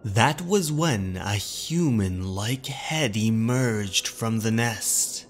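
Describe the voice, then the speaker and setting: A man's voice: fairly low pitch, drawn-out words, a little hiss or hum. A man delivering scripted narration of a horror story as narrator and host.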